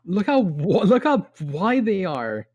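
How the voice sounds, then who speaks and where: A man's voice: sits high, sing-song, slow, darkish tone, lo-fi sound. A man talking casually as a panelist on a podcast. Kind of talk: casual chat.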